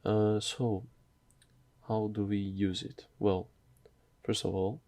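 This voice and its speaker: Man, tutorial host, low flat voice, dark mellow tone, halting casual delivery. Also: dry recording. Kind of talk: casual screen tutorial narration.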